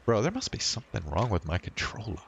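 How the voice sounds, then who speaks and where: A man streaming and chatting casually in a laid-back, halting, slangy way. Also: fairly low pitch, wildly swooping pitch, creaky, slight background noise. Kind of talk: casual livestream chat.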